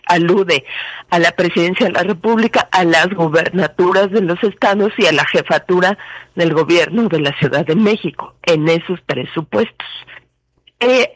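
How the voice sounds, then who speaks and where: A woman with a Mexican accent, speaking as a legal expert guest and explaining things methodically. She pauses often and fills the gaps with short fillers. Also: lowish voice, no echo, low-quality recording. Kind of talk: explanatory commentary.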